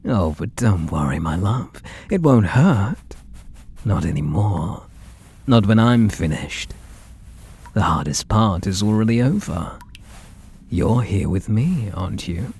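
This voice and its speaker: A British man voicing an obsessed, possessive artist and menacingly tender lover in a breathy, theatrical ASMR roleplay, drifting into whispers. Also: on the low side, sing-song, slow pace, darkish tone, exaggerated voice. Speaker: audio roleplay voice actor.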